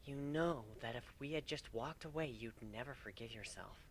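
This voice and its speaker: Man as a hushed, sly changeling pony wife. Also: mid-range voice, moderate pace, a little hiss or hum, natural voice. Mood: uncertain, gentle. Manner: hushed, murmuring, teasing. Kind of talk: dramatic fan fiction reading.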